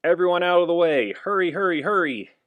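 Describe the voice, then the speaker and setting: A man's voice: high voice, animated, fairly quick, fairly bright tone, dry recording, voice pushed up. A man voicing urgent, scolding firemen in a children's storybook, calling out in short exclamations.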